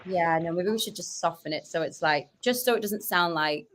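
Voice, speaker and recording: A woman's voice: fairly low pitch, fairly quick, slight background noise. A young woman from Cumbria with a Northern English accent, chatting animatedly as a podcast guest and telling stories with quoted asides. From Barrow-in-Furness, Cumbria, England. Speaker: guest, sketch comedian and actress. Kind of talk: casual podcast chat.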